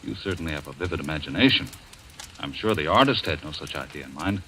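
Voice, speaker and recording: A very low-voiced, authoritative male doctor in an old radio mystery. He is firm and paternal, with expressive swings in pitch. Faint noise sits on the recording. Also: fast, full character voice. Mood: reassuring, firm. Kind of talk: old-time radio drama acting. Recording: noisy vintage radio recording.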